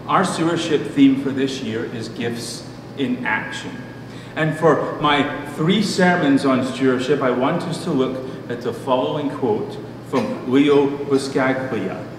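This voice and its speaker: A middle-aged man preaching a sermon as a pastor, in a measured, deliberate manner with pauses. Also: mid-range voice. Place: church room at a pulpit. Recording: distant mic, echoey hall, noisy background.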